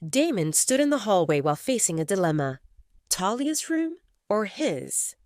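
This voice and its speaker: A woman narrating a scripted audio drama in an expressive, intimate storytelling manner. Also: medium pitch, moderate pace, no echo, studio quality.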